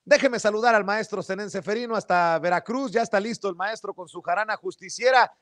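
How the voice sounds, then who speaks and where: Mexican male host, high-pitched voice, colloquial political commentary, emphatic, direct address, dry close sound. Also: a little fast, a bit bright, no room sound. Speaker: political talk show host. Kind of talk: casual news commentary.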